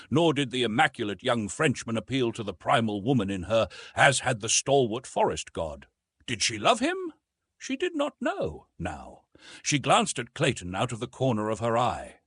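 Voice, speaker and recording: A man with a slightly husky voice narrating a classic adventure novel in an expressive, carefully phrased, dramatic storytelling style. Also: medium pitch, dry recording. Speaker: audiobook narrator.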